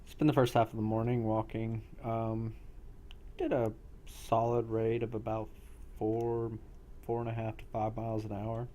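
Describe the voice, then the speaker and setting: A man with a fairly low voice narrates a role play game as its game master. He moves in halting phrases with pauses. There is some noise on the recording. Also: flat delivery, dark tone.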